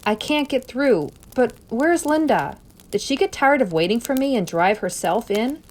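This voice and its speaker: A woman voicing a worried man in a story reading, with a rushed, urgent, insistent delivery. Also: on the high side, sing-song, darkish tone, a little hiss or hum, pitched above the performer's own voice.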